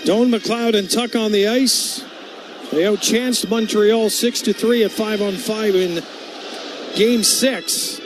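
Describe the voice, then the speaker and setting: A man with a North American accent calling live hockey play-by-play for radio from an arena booth. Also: high-pitched. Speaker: play-by-play commentator.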